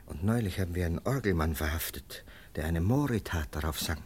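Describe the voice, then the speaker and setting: An actor playing a suspicious, coolly sardonic police lieutenant in a German radio play, his voice husky. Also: low voice, animated, a little fast, a little mellow, a little hiss or hum. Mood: confidential, measured.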